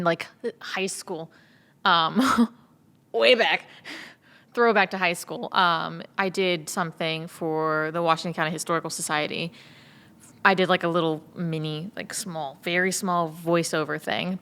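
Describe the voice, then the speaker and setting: A woman's voice: fairly low pitch, a bit bright. A woman from the United States chatting casually as a cast member, with a reverberant sound. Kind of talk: casual chat.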